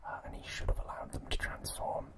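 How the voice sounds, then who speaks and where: An ASMR host narrating in a hushed, breathy, unvoiced whisper, slow and unhurried. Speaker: ASMR host recapping an anime series.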